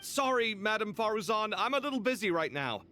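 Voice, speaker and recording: A man playing a busy, apologetic young man in a video game, speaking in a hurried, harried manner. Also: high-pitched, sing-song, pushed and bright, full character voice. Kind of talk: video game character acting.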